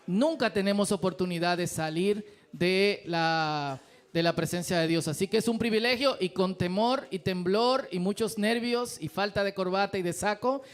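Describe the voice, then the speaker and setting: A man's voice: sits high, moderate pace. A man with a Dominican accent preaching a sermon in a church, in a conversational, humble way with frequent fillers. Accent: Dominican Spanish. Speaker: pastor.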